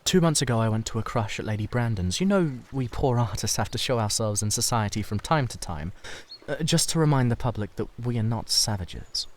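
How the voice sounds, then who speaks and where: A man voicing a sensitive, secretive young painter in a dramatised audiobook, earnest and halting as he confides. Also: medium pitch, expressive, a little hiss or hum, natural voice. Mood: hesitant, confiding.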